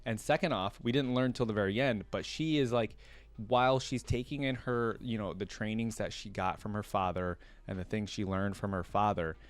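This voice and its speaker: A man's voice: on the low side, moderate pace. A man hosting a reaction video, reading a story aloud and adding casual, conversational fan commentary.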